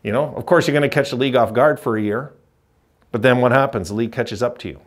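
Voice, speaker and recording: A man's voice: mid-range voice, quick pace. A middle-aged man hosting a hockey fan channel, giving a frustrated, emphatic postgame rant straight to camera and hammering his points by repeating them. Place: indoors, green-screen backdrop.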